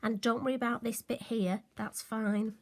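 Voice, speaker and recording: A woman, slightly hoarse and occasionally croaky, chatting through a crafting tutorial with friendly, self-deprecating asides. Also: a little high, fairly even intonation, normal speed. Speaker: card-making demonstrator.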